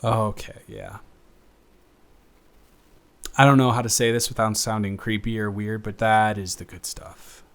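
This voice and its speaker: A man playing a teasing, affectionate young roommate who gets easily flustered, speaking haltingly and conversationally in an intimate improvised audio roleplay. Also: lowish voice, steady tone, fairly bright tone, clean tone. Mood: cozy, awkward. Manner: halting, conversational, self-correcting.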